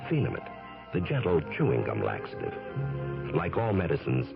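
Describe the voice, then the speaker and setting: A man with a gravelly voice reading a scripted 1970s radio commercial. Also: rumbling low, wildly swooping pitch, moderate pace. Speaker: commercial announcer. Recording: dull old radio, loud music.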